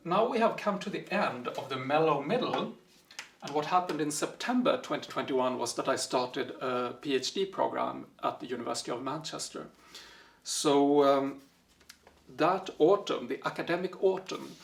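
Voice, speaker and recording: A man whose first language is Swedish, talking casually through the books he read, with frequent pauses and fillers. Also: medium pitch, gravelly. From Sweden. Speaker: book vlogger. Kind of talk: casual book review vlog.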